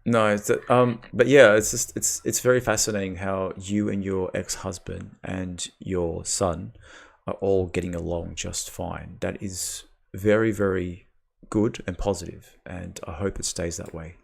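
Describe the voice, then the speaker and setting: A man's voice: low-pitched, steady tone, unhurried, vocal fry. An Australian man hosting a live call-in chat, asking probing questions and encouraging the caller.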